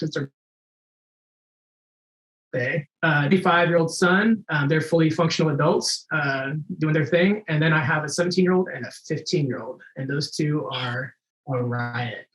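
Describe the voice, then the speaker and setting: A man's voice: on the high side, moderate pace, a bit bright, roomy sound, rough recording. A man in his forties, a former Navy SEAL guest, talking in a laid-back way and laughing easily. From United States. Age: 40s. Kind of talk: casual interview chat.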